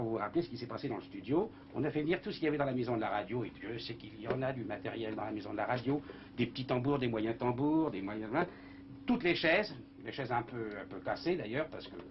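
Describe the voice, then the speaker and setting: A man, a film composer, in a casual interview, talking in a lively, rambling way and imitating sounds with his mouth, on a dull old broadcast recording with faint hiss. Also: mid-range voice.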